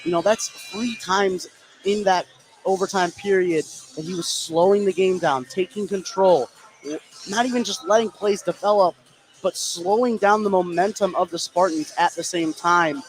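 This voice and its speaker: A man giving conversational color commentary on a live basketball radio broadcast from a high school fieldhouse, often saying "you know". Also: moderate pace, a little hiss or hum, rough recording. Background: thumping music.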